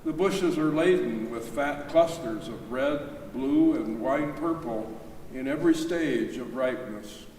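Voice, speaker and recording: A man reads literary prose aloud at a slow pace, in a mid-range voice. He sounds some way from the microphone in a roomy, echoing church, with faint noise behind. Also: mid-range voice, a little mellow. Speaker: readers theater reader. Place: reverberant church, live reading. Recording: distant, echoey church hall, faint noise.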